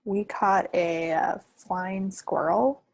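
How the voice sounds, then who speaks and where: Female podcast co-host, husky voice with fry, wry and understated, casual delivery. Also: on the low side, slow pace, darkish tone, no echo, rough recording. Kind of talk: casual chat.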